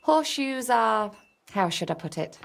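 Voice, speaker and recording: A woman's voice: a little high, lively intonation, normal speed, creaky. A woman playing a flirty, cheerful medieval serving woman with a coy, teasing manner in a video game. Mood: chatty, explanatory. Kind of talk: naturalistic game dialogue.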